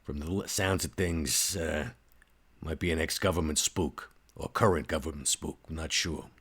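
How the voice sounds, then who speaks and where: A man voicing a gruff, streetwise tough-guy vampire with a gravelly, husky voice and slangy, blunt talk. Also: very deep voice, moderate pace, fairly bright tone, heavily acted. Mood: businesslike. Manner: slangy, blunt, hard-boiled.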